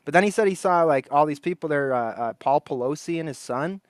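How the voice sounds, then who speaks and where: Brief: man, casual podcast co-host, fairly high voice, dry close sound, loose rambling storyteller who laughs a lot. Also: lively intonation, brisk, dry recording. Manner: rambling storyteller, laughs often, acts out quotes.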